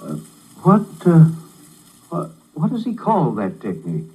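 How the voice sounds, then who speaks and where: An actor playing a scornful, exasperated art critic in a 1950s radio drama, with emphatic, disdainful delivery. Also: male voice, on the high side, huge pitch swings, warm tone, husky, full character voice, rough recording. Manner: emphatic, disdainful, drawn-out words.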